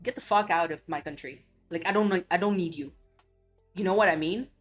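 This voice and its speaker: A woman's voice: fairly low pitch, fairly quick, faint music behind. A woman from Belgium, a streamer chatting casually and ranting bluntly with cursing and many restarts. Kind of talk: casual chat.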